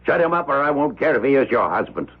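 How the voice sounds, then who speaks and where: Husky, domineering rich old miser. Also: male voice, medium pitch, very animated, quick pace. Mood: irritated, scornful. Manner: barking orders, insulting, imperious. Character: rich, gray-haired, domineering old miser, quick to rage. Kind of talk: 1970s radio drama acting. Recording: muffled old radio drama recording.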